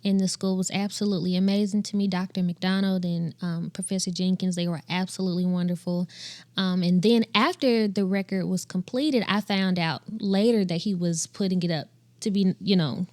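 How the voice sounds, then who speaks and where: A young woman in her twenties, a gospel singer with a high voice, telling her story in an animated interview. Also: moderate pace, dry recording, clean studio sound. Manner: animated, laughs often, acts out quotes in a higher voice. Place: podcast studio set with couches. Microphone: boom mic on a stand.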